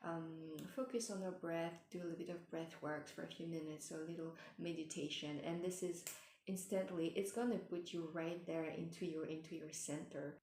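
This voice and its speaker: A woman giving gentle spiritual advice in a meandering way, with many fillers and restarts, and she is losing her voice. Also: deep voice, fairly even intonation, moderate pace, roomy sound, a little hiss or hum. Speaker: spiritual mentor. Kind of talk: casual advice talk.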